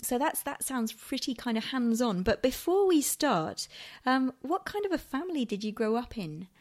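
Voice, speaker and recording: A woman's voice: high voice, very animated, normal speed, darkish tone. Woman hosting an ocean podcast. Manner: reads the intro steadily, then chats with encouraging fillers. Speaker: podcast host. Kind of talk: podcast interview with a scripted intro.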